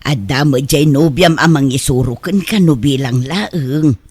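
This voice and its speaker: An actor playing a doting, teasing parent of a grown son in an Ilocano radio drama, advising in an animated way. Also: male voice, medium pitch, measured pace, breathy edge, dry recording. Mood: concerned, reassuring.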